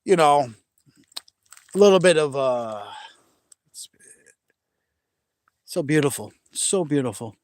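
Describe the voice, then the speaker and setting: A man's voice: on the high side, animated, takes it slowly, long pauses, no echo. Chatty man with faint Maine accent. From Maine, New England. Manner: chatty, rambling, laughs easily, frequent restarts. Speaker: vlogger. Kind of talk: casual live chat with viewers. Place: outdoors by a large lake at sunset. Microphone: phone camera held by hand.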